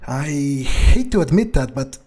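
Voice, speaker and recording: A man doing an improvised in-character gameplay monologue, thinking aloud in a halting, musing way. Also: medium pitch, expressive, dark tone. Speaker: gamer playing in character.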